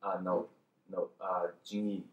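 A young man, a PhD student introducing himself in a halting, shy way. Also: low-pitched, flat delivery, lo-fi sound. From China. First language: Chinese. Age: young adult. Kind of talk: casual self-introduction. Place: conference room. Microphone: distant camera mic. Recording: roomy, distant mic.

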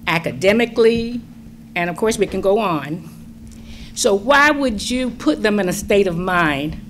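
Middle-aged woman, public forum speaker: slow, earnest, swooping emphasis, standing mic in a big room with faint hum. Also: mid-range voice. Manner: earnest, pleading, with emphatic rising stresses. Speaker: public commenter. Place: large school meeting room. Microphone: standing mic at a distance.

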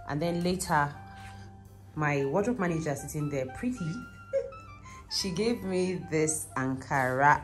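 A young adult woman with a Nigerian accent, chatting casually and expressively to her travel vlog on a phone. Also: low-pitched. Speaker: vlogger. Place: hotel rooms, indoors. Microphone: phone at arm's length.

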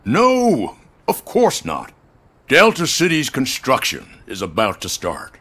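An older man playing a frail, courtly, calculating corporate chief in a video game, measured and polite. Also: mid-range voice, huge pitch swings, a bit bright, husky, heavily acted. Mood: musing. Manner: measured, polite, paternal with veiled menace. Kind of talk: cinematic video game acting.

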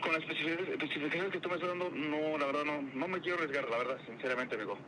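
A man's voice: a little high, rapid-fire, noisy background. Hesitant Mexican man on the phone. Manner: hesitant, repetitive, polite. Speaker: furniture cleaning service worker. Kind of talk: phone conversation with a customer. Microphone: phone call. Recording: phone call with music behind.

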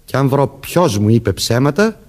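An actor playing an authoritative police sergeant in a Greek radio play, speaking formally and deliberately while questioning suspects. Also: male voice, medium pitch, sing-song, darkish tone. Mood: stern, commanding. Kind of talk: Greek radio theatre acting.

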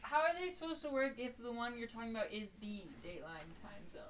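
A woman's voice: a little high, big pitch swings, fast talker, a little hiss or hum. A young adult woman co-hosting a podcast, chatting casually in a wry, giggly way. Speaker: podcast co-host. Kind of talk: casual chat.

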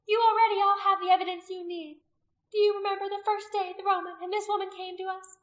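A woman voicing a venomous, imperious pagan high priestess in an audiobook, declaiming accusations as if to a crowd. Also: level tone, fast talker, a bit bright, roomy sound. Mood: venomous, accusing. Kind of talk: dramatic audiobook character acting.

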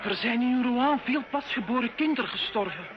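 An actress playing a wary, softhearted tavern girl in an old radio drama. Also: a little high, animated, brisk, close to an everyday voice. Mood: earnest. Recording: dull, noisy old radio recording.

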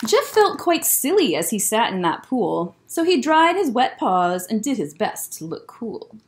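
Animated young woman reading slowly to children. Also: a little high, slight background noise. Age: young adult. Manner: expressive, deliberate storytelling. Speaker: librarian storyteller. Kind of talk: picture book read-aloud for children. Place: indoor library room.

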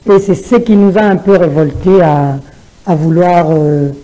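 A woman from Kinshasa with a Congolese French accent, answering studio interview questions as a political candidate, with a halting delivery. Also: on the low side, warm tone, low-quality recording. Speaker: parliamentary candidate and party spokesperson. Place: television studio. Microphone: gooseneck desk microphone.

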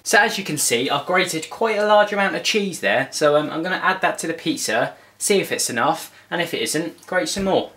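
Bright-voiced young man, playful. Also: a little high, animated, fairly quick, hardly any pauses. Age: young adult. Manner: playful, cheeky, sweary. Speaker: cooking vlog host. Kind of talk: casual jokey cooking narration to camera. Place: small home kitchen, indoors. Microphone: camera a short distance away. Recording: clear background noise, home camera microphone.

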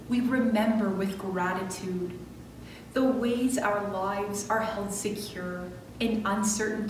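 A middle-aged woman minister leading a scripted prayer in a measured, solemn way, phrase by phrase, from a church pulpit. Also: mid-range voice. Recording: roomy distant church recording with clear noise.

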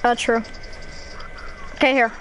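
A woman chatting casually while playing an online shooter, excitable and teasing. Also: on the high side, measured pace, a little hiss or hum, low-quality recording. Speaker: gamer. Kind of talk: casual gaming chat.

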